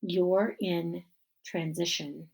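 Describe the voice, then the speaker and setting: Woman as male principal, storybook graduation speech, slow measured pace, gravelly creak, earnest, formal, emphatic. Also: lowish voice, steady tone, darkish tone, voice pushed down, exaggerated voice, low-quality recording. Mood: earnest, reflective. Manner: measured, emphatic public-speaking delivery with deliberate pauses. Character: middle-aged male school principal giving warm, earnest graduation speech. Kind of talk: children's novel read-aloud.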